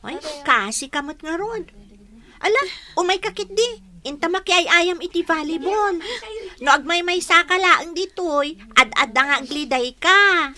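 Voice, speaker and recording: Bright, very high-pitched playmate girl played by a woman. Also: expressive, measured pace, full character voice. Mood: coaxing. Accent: Ilocano. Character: cheerful young village girl, consoling playmate. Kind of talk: Ilocano radio drama acting.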